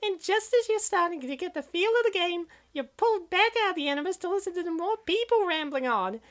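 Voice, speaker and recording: A woman's voice: extremely high pitch, animated, fairly quick. A woman voicing a stubborn, exasperated young female gamer in a comic dub, complaining in long rattled-off runs.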